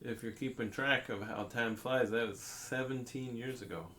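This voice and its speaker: A man's voice: medium pitch, steady tone, normal speed, pushed and bright. Dry, wry man with faint background noise. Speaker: podcast co-host. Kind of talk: casual chat.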